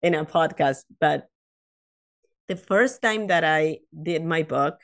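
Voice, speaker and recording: A woman in her fifties speaks English as a second language, telling stories in a relaxed interview with plenty of restarts and "you know" asides. Her voice sits a little low for a woman, and her delivery is animated and chatty. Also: slow. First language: not English. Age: 50s. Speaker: podcast guest, author. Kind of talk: casual interview chat.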